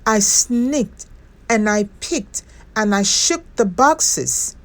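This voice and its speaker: Young storybook bear, played by a woman: small high voice, plaintive, anxious, drawn-out and swooping. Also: lively intonation, full character voice. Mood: tearful, upset. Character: young toy bear, childlike, anxious and sorry.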